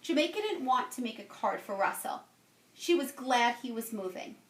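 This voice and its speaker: A woman's voice: on the high side, animated, normal speed. A woman who works as a teacher, reading a children's picture book aloud expressively. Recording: roomy, echoey home-style recording.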